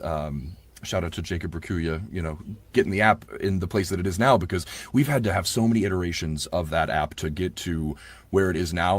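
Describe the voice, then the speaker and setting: A man with a husky voice, talking informally and effusively in a casual interview as an audiobook narrator. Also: low voice, no room sound.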